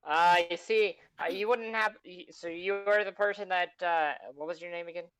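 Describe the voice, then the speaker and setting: A man voicing a huge male goliath estate agent with a high voice, who talks in a polite, businesslike sales manner. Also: steady tone, moderate pace, fairly bright tone, smooth, no echo.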